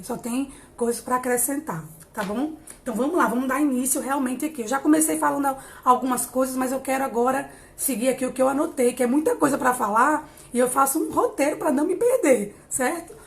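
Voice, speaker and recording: A woman in her late thirties giving an encouraging live-stream talk on emotional growth, pausing often and restarting her sentences. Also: high voice. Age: 30s. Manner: warm, encouraging, many hesitations and restarts. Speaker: psychologist hosting a live stream.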